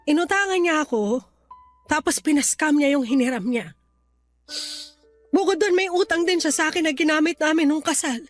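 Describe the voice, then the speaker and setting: High-voiced, weary wife, played by a woman. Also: normal speed, pauses often, faint music behind, close to an everyday voice. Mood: regretful, bitter. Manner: plaintive, venting, hesitant. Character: weary, resentful young wife confiding in her cousin. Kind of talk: Tagalog radio drama dialogue.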